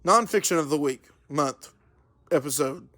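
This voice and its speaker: A man hosting a solo podcast in an informal, earnest way, halting and correcting himself as he goes. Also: fairly high pitch, animated, sharp tone. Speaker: podcast host.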